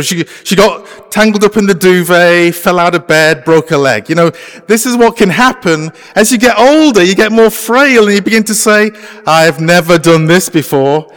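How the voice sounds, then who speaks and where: A middle-aged Englishman preaches to a congregation in an easy, conversational way, often pausing on small fillers. His voice sits a little high for a man. The sound carries a long reverberant hall tail from the church around him. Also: a bit bright, clean tone. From England. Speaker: pastor. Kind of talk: sermon. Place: church sanctuary.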